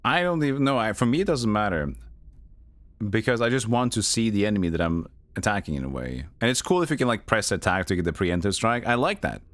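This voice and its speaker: A man's voice: on the low side, big pitch swings, fast talker, a bit bright, no room sound. A man giving casual live commentary as a game streamer, musing in a rambling way.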